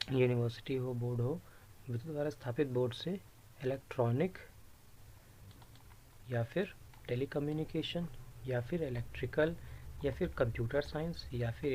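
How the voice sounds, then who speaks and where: A man's voice: medium pitch, a little mellow. Man explaining step by step, noisy recording. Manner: explanatory, repeats and rephrases key points. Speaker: YouTube presenter explaining recruitment rules. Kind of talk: informal screen-share explainer.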